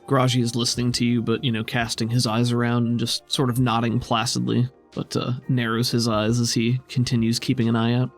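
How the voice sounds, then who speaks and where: A man's voice: mid-range voice, monotone, brisk, hardly any pauses, faint music behind. A man acting as game master, improvising descriptive narration for a tabletop game and explaining scenes as he goes.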